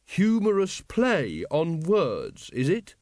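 A man voicing an earnest, naive young male watchman who speaks flatly. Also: a little high, takes it slowly, dry recording, clean studio sound, voice pushed up, full character voice. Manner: earnest, flat, puzzled.

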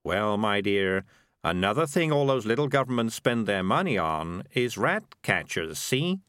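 A man's voice: fairly low pitch, very animated, measured pace, a bit bright, smooth, no echo, studio quality. A man voicing a cynical, bossy talking animal schemer who lectures in a wheedling, condescending way in a storybook reading. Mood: cynical, exasperated.

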